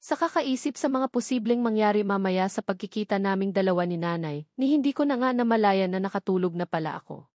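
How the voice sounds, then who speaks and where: A woman's voice: medium pitch, darkish tone, dry recording, clean studio sound. A woman reading a first-person romance novel aloud as a scripted audiobook narration.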